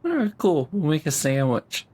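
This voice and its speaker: A man's voice: on the high side, very animated, normal speed, mellow, slightly rough. A man playing a tabletop game, joking and laughing as he rambles through his lines. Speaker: player. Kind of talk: casual tabletop role-play.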